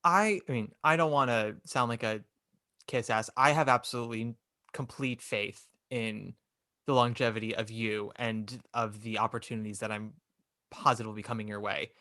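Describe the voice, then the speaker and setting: A man's voice: on the low side, fairly even intonation, normal speed, pushed and bright, dry recording, clean studio sound. A young man, hosting a casual interview and talking in a candid, chatty, opinionated way. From New York. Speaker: podcast host.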